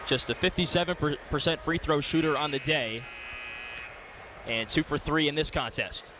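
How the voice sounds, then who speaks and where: A man's voice: a little high, normal speed. A man calling a basketball game live on radio as play-by-play announcer, in clipped, descriptive phrases. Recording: loud arena noise behind the voice. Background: gym ambience, bouncing basketballs.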